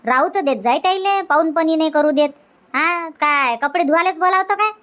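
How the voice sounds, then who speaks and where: Cartoon village aunt, acted by a woman: high voice, Varhadi Marathi accent, bossy and hospitable, nags by repeating herself. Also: brisk, fairly bright tone, lo-fi sound. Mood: firm, scolding. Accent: Varhadi Marathi from Vidarbha. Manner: insistent, repetitive, scolding. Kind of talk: Marathi cartoon comedy dialogue.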